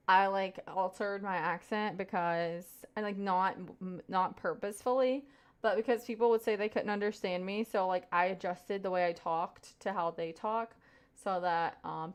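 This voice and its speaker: A woman's voice: mid-range voice, steady tone, normal speed, clear, resonant tone. A young woman from Kentucky with a light Southern US accent, live-streaming, chatting casually, and thanking her viewers over and over. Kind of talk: casual chat reading viewer comments.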